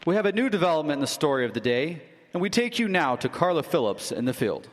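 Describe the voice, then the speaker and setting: An actor playing a polished radio station announcer who introduces news bulletins in a live radio drama. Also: male voice, a little high, very animated, fast. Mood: announcing. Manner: polished broadcast announcer delivery. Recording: live stage recording in a hall.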